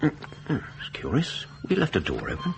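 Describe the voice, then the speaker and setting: A man's voice: medium pitch, wildly swooping pitch, brisk, gravelly, slight background noise. A male actor playing a courteous, fussy English scholar in a radio drama, donnish and hesitant, with an English accent. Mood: curious. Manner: hesitant, donnish, fussily polite. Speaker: narrator and lead character. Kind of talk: radio drama acting and narration.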